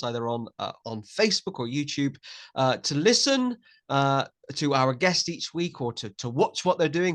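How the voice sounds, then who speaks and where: A man's voice: on the high side, fairly bright tone. A man hosting an interview podcast, chatty and repeating his words, with many filler sounds. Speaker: podcast host.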